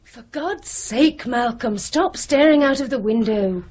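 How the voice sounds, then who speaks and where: Husky, animated British young woman. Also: fairly high pitch, unhurried, lo-fi sound. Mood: exasperated, scolding. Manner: probing, blunt, impatient. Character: forthright, exasperated grown daughter, quick to scold. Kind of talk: naturalistic radio drama acting.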